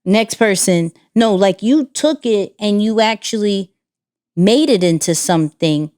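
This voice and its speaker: Animated woman co-hosting a podcast. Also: mid-range voice, measured pace. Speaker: podcast co-host. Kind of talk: casual podcast chat.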